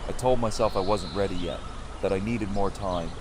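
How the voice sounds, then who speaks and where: Actor as weary male survivor leader, low voice, measured, earnest, audio drama, noisy outdoor ambience with birds. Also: close to an everyday voice. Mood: regretful, earnest.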